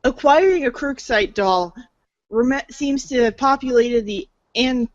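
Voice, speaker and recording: Woman narrator with lively, high pitch. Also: measured pace, dry recording, highs cut off. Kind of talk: scripted storybook narration.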